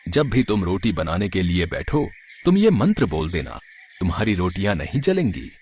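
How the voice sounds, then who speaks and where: A man's voice: low-pitched, wildly swooping pitch, normal speed, a little mellow, slight background noise. A man voicing a kindly, wise old holy man in a moral story, solemn and full of blessings.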